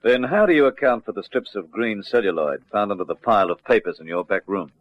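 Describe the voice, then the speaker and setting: An actor playing an eager, inquisitive junior police detective in an old radio drama, keen and questioning. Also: male voice, lowish voice, lively intonation, quick pace, no echo, natural voice. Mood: challenging. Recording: dry, dull lo-fi old radio recording.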